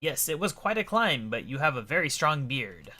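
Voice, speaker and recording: Man voicing earnest game adventurer, bright and lively. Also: a little high, normal speed, voice pushed up, full character voice. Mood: polite. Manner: polite, questioning. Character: earnest, puzzled male adventurer hero of a fantasy game. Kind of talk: reading video game dialogue aloud.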